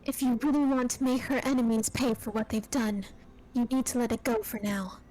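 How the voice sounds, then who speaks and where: Woman playing a high-voiced, composed girl detective. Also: steady tone, darkish tone, slight background noise, lightly acted, low-quality recording. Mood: cool, accusing. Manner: measured, interrogating. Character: cool, composed, probing teenage girl detective. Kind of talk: fan voice acting of a video game.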